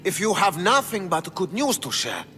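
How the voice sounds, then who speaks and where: An actor playing a haughty, imperious Spartan councillor in a video game, in a reverberant hall. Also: male voice, sits high, very animated, moderate pace, bright, forceful tone, soft background music, heavily acted. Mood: haughty, impatient. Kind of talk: video game cutscene acting.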